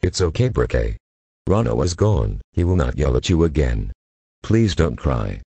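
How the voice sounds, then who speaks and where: A synthetic male voice playing a stern, protective older brother in a computer-voiced fan cartoon, deep and breathy. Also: big pitch swings, measured pace, warm tone, no room sound, exaggerated voice. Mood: soothing. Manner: stern, scolding.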